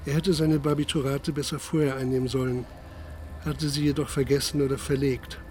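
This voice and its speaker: A man's voice: mid-range voice, flat delivery, fairly quick, a little mellow, slight background noise. Sober male radio drama narrator. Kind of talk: scripted literary narration. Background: music.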